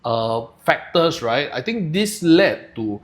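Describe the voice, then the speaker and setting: A man's voice: a little high, slow. A young man with a Singaporean English accent co-hosting a podcast, talking casually and thinking aloud with lots of fillers. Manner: relaxed, thinks aloud with frequent fillers and "lah". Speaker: podcast co-host. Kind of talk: casual discussion.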